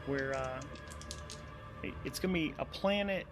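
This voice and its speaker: A man hosting and narrating a solo tabletop game in a casual way, thinking aloud and improvising haltingly, often repeating words as he goes. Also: medium pitch, music in the background.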